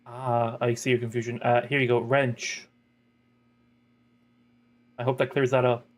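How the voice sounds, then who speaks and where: A man's voice: medium pitch, level tone, measured pace. Playful man chatting over a game. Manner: joking, playful. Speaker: gaming video host. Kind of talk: casual playful gameplay chat.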